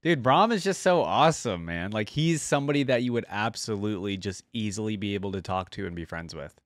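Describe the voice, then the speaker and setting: A man's voice: on the low side, lively intonation, brisk, dry recording. A man hosting a reaction video, talking casually and informally with plenty of enthusiasm into a close mic.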